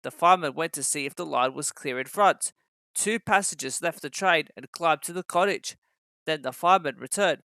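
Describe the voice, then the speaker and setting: A man's voice: on the high side, moderate pace, gravelly, sharp tone, clear, resonant tone, no echo. A man reading a children's story as a scripted narration.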